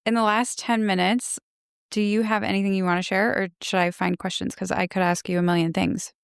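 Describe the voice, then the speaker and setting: A woman hosting a podcast, speaking in a gentle, admiring, effusive manner. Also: mid-range voice, flat delivery, brisk, creaky, clear, resonant tone, dry recording, clean studio sound. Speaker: podcast host. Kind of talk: warm interview chat.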